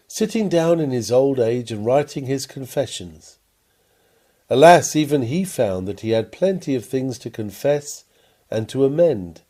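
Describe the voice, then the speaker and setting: A man reading a sermon aloud in an earnest, measured, emphatic preaching manner. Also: mid-range voice, dark tone. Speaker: reader of a printed sermon. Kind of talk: read-aloud sermon, devotional.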